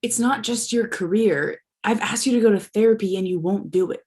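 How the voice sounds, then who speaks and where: A woman's voice: medium pitch, a little fast, darkish tone, lightly acted. A woman reading the part of a fed-up girlfriend in her thirties, cool and matter-of-fact. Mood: cold.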